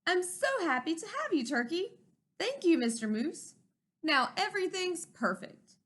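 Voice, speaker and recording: A woman's voice: extremely high pitch, big pitch swings, unhurried, a bit bright, pitched above the performer's own voice, heavily acted. A woman voicing a sweet, hospitable female moose from a children's storybook in a gracious, gushing way. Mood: delighted.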